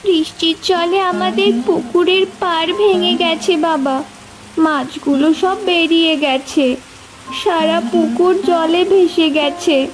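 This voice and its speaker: Woman voicing a very high-pitched earnest young village daughter. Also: fairly even intonation, takes it slowly, rough recording. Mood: distressed. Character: earnest hardworking twenty-year-old village daughter. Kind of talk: animated cartoon story dialogue. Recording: music bed behind voice.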